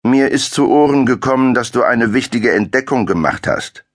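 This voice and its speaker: A man's voice: medium pitch, normal speed, voice pushed up, close to an everyday voice. A man voicing an impatient, menacing nobleman who hisses threats. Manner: hissing, menacing.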